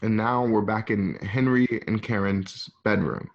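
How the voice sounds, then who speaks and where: A man's voice: fairly low pitch, steady tone, unhurried, highs cut off. A man narrating a screenplay's stage directions for an audio drama table read, recorded at home.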